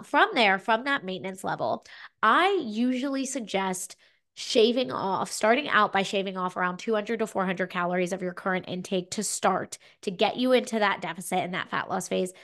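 A young woman talks through fitness advice in a loose, chatty way, full of "like" and "you know". She sounds a bit stuffed up from a cold. Her voice sits at an ordinary middle pitch. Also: normal speed, a bit bright. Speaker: podcast co-host, fitness coach. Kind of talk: casual advice chat.

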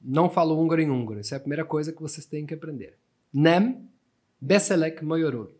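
A man's voice: a little high, fast, a little mellow. A man in his thirties from Portugal with a European Portuguese accent, a podcast guest telling stories in a chatty way with many restarts and tag questions. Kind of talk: casual storytelling conversation.

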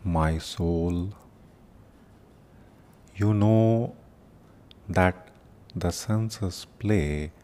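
A man leading a guided meditation in a solemn, deliberate manner, speaking in short phrases with long pauses between them. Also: sits low, dark tone, a little hiss or hum. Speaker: meditation teacher and podcast host. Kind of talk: guided meditation with prayerful invocation.

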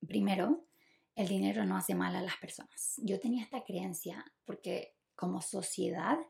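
A woman's voice: medium pitch, fairly even intonation, normal speed, gravelly, a little mellow. A woman with a Chilean accent hosting a podcast alone, talking casually and reflectively, confiding and giving advice straight to the listener. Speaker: podcast host.

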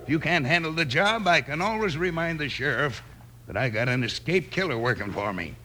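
A crooked rancher from a radio western, with a fairly high, forceful voice. He is gruff, threatening and curt in a Western American accent. The old recording has some background noise. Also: lively intonation, fairly quick. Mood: menacing.

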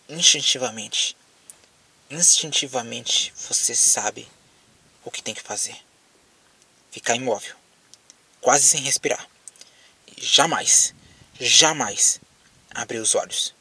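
Man playing lonely apocalypse survivor, Brazilian accent, rough husky voice, halting anguished monologue, slightly distant mic. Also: medium pitch, bright, forceful tone, exaggerated voice. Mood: haunted, reflective. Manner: hesitant, halting, repeats words. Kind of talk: audio drama monologue spoken as a phone call.

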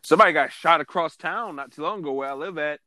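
A man's voice: fairly high pitch, quick pace, pushed and bright, dry recording, clean studio sound. A man from Brooklyn hosting a casual interview, talking loosely with street slang. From Brooklyn, New York.

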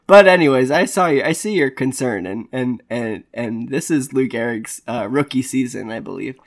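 A man's voice: mid-range voice, animated, measured pace. A man co-hosting a podcast in casual chat, rambling with frequent restarts and filler words. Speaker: podcast co-host.

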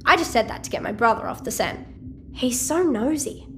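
Chatty aussie teen girl in an audio drama, high bouncy voice, cheeky and nosy. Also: lively intonation, normal speed, fairly bright tone, soft background music. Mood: friendly, teasing. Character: chatty, nosy, quirky teenage girl hacker. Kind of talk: audio-fiction drama dialogue.

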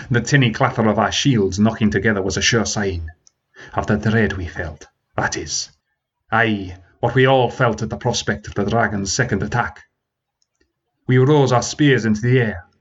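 A man voicing an old male warrior with a Scottish accent, telling a tale in a lively storytelling manner. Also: on the low side, breathy edge, highs cut off. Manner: lively storytelling with dialect words.

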